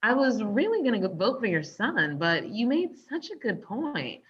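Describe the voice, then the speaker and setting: A woman's voice: fairly high pitch, sing-song, moderate pace, slight background noise. A woman playing a candid, placating character in a comedic table read, speaking conversationally, on a slightly dull recording. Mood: friendly, confiding.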